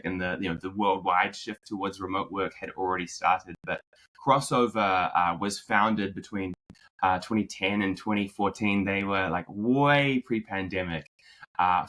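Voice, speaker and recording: A man from New Zealand with a drifting New Zealand accent, a podcast guest telling his story in a relaxed way. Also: fairly low pitch, rough recording. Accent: New Zealand, mixed with American. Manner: relaxed storyteller, many fillers and restarts. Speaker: podcast guest, marketing executive. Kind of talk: conversational interview.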